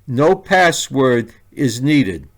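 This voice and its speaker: A man reading a scripted product review in a deliberate, plainly enunciated way. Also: mid-range voice, very animated. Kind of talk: scripted narration.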